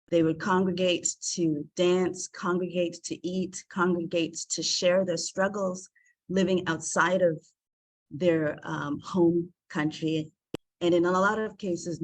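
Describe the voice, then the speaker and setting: A woman's voice: fairly low pitch, dry recording, low-quality recording. Earnest woman presenting, full of ums. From South Africa. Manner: warm, earnest, many filler ums. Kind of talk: presentation to an online group.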